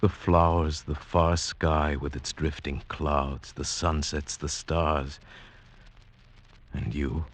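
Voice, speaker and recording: An actor playing an earnest, dreamy outsider in love, in mid-century radio drama style with poetic, theatrical delivery. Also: male voice, rumbling low, little pitch movement, slow pace, full character voice. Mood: pleading. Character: lovestruck sighted outsider man, village slave, earnest and dreamy. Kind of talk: 1940s-50s radio drama acting, with first-person narration. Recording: old radio drama, music underneath.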